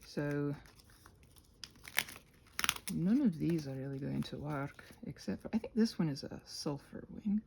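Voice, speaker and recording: A woman hosting a rambling craft ASMR chat with a husky voice, in an expressive, tentative, self-deprecating way that meanders. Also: low voice, a little slow, pauses often, slight background noise. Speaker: channel host.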